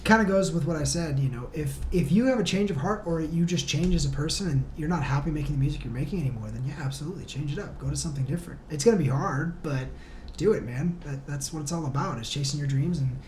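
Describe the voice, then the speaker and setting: A woman's voice: low voice, fast, a little mellow. A woman talking casually and enthusiastically as a guest in a podcast interview. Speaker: band member guest. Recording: roomy, echoey room with noise.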